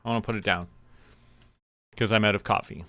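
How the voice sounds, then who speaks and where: A man's voice: lowish voice, steady tone, brisk, pushed and bright, no echo. A man chatting casually on a livestream, rambling to himself as he goes about small tasks. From Canada. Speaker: streamer.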